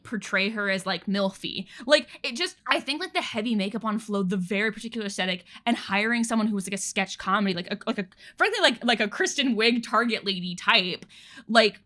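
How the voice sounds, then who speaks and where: A woman co-hosts a podcast in loose, casual conversation. Her voice is bright and forward. She talks in animated bursts, full of fillers and restarts. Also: fairly high pitch. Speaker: podcast co-host.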